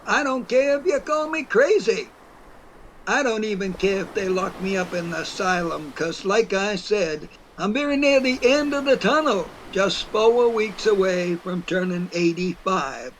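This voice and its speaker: A man's voice: sits high, slight background noise, rough recording. A man playing a folksy, stubborn man in his eighties from New Hampshire, rambling through his tale in a rural New England dialect for an audio play. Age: 80s. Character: folksy, stubborn eighty-four-year-old New Hampshire whiskey drinker. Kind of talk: first-person audio play storytelling.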